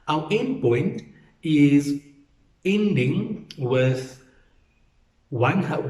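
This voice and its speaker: A man's voice: medium pitch, a little mellow. A man gives an explanatory lecture in a didactic way, repeating key points for emphasis. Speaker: accounting lecturer. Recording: roomy, echoing sound.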